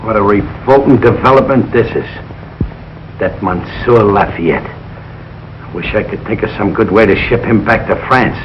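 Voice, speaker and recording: An actor playing a blustering, jealous working-class American husband in a 1940s radio sitcom, with folksy, exasperated grammar-bending talk. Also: male voice, on the low side, a little slow, close to an everyday voice. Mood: dejected. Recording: dull, noisy old radio broadcast.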